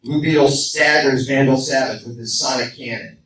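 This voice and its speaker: Male live radio-play narrator, mid-range, distant and roomy with clear noise. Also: mid-range voice, fairly even intonation, normal speed, a bit bright. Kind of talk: scripted narration with comic asides. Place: live convention room, distant microphone. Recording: echoey, noisy fan recording from afar.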